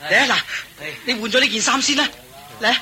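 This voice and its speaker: A man playing an eager, excitable disciple monk in a Cantonese radio drama. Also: extremely high pitch, fairly quick, exaggerated voice. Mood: helpful. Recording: noisy radio drama with water ambience.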